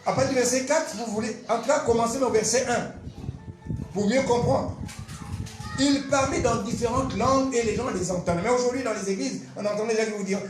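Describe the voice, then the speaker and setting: A man, a pastor giving an animated, emphatic testimony and teaching with repetitive storytelling. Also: extremely high pitch, fairly quick. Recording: roomy echo, faint music behind.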